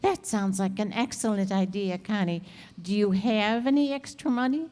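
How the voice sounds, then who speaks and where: A long hall echo surrounds the voice. A woman plays a chatty, sympathetic landlady in old-time radio comedy style, with a medium-pitched voice and a fond, earnest way of talking. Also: moderate pace, a little mellow, lightly acted. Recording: reverberant hall stage recording.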